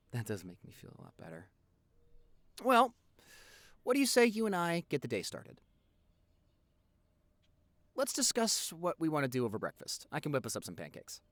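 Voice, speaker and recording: A man's voice: medium pitch, no room sound. A young man playing a playful, affectionate boyfriend who rambles chattily and teasingly in an intimate audio roleplay. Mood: tender, apologetic. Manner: teasing, animated, chatty, expressive.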